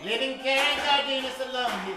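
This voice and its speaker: Man as a bright, droll radio mystery narrator. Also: very high-pitched, steady tone, fast, soft background music, full character voice. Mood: dramatic. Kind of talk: dramatic narration, old-time radio with modern twist. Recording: very echoey stage, heard at a distance.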